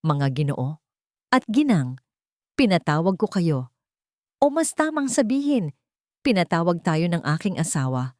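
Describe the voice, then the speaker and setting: Woman putting on a smug scheming husband, slightly low. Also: sing-song, measured pace, long pauses, darkish tone, no room sound, studio quality. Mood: feigned sorrow. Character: scheming husband and executive, smooth, self-righteous man.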